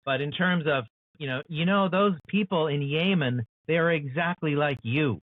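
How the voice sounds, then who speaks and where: A man's voice: on the high side, normal speed. American man on a tinny call line. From United States. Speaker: author guest. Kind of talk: earnest interview discussion. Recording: dry, tinny phone-like call audio.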